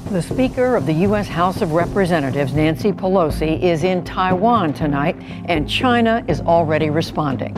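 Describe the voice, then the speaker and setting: Casting: female TV anchor, lowish voice, formal report, music under it. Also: animated, normal speed, few pauses. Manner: crisp, formal delivery. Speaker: news anchor. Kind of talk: broadcast news report.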